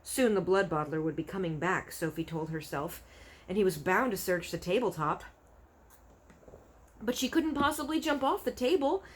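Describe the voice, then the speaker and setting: A woman narrating a children's story aloud in an expressive read-aloud style. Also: lowish voice, moderate pace. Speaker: storybook reader.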